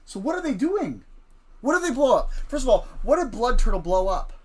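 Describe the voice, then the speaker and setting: A woman's voice: on the high side, sing-song, brisk, a little mellow. A woman hosting a casual streamed storytelling session, setting the scene and questioning a child about his ideas. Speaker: host.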